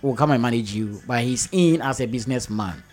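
A Ghanaian man hosts a relaxed radio chat and hands out advice with a lot of repetition. His voice sits in the middle range. Faint music plays softly under the talk. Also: mid-range voice, fairly quick. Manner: animated, advice-giving, repeats himself. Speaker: radio host. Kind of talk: casual interview chat.

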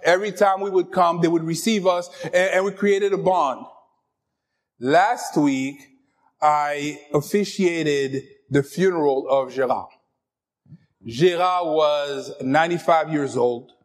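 Pastor, man, French first language, high voice, joking and lively with the congregation. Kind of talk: sermon.

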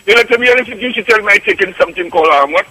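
Trinidadian male caller, 50s, high bright voice, eager and effusive. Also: expressive, very fast, slightly rough, no room sound, poor audio. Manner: enthusiastic, keeps calling the host "boss". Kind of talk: phone-in radio testimonial.

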